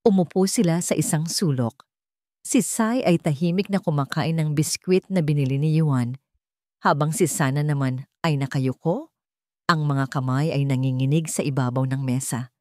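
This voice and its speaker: Low-voiced Filipino woman narrating a dramatic story. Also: darkish tone, dry recording, clean studio sound. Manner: measured, solemn, dramatic delivery.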